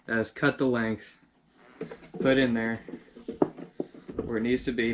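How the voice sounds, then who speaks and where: Male DIY vlogger, mid-pitched, informal how-to talk, thinking aloud mid-task, background noise. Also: lo-fi sound. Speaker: DIY video host. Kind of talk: casual how-to narration while working. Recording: clear background noise with handling clicks.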